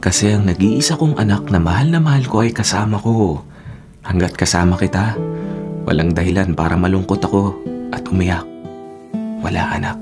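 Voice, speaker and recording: A man's voice: low-pitched, normal speed, pauses often, close to an everyday voice. Man acting a tender Filipino father over guitar music. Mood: tender, wistful. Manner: tender, emotional, reassuring. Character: tender, joking father hiding deep hurt. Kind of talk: Filipino radio drama acting.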